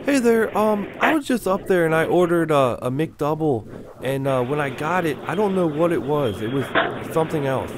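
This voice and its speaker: A young man making a prank phone call as a confused customer, hesitant, bumbling and deadpan. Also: on the high side, moderate pace, noisy background. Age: young adult. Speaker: prank caller. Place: small cluttered room indoors. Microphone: microphone on a stand, close.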